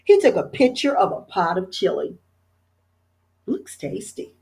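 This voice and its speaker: A woman, a teacher, reading a children's picture book aloud in an expressive storytelling manner. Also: mid-range voice, fairly quick, vocal fry.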